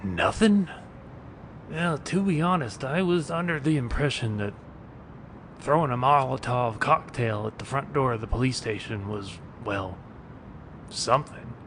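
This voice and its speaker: Guy doing a sardonic cartoon bum, really slow fake-polite taunts, noisy rumbly background. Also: animated, fairly bright tone. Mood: mock-polite taunting. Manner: mock-polite, drawn-out, sardonic. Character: wry, taunting street bum, vigilante's sidekick. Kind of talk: animated series dialogue. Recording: clear low rumbling background noise.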